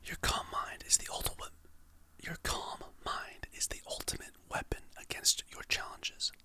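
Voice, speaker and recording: A content creator softly whispering motivational quotes and affirmations in a slow, soothing ASMR style. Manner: soft whisper, slow and soothing, reads quotes then names the author.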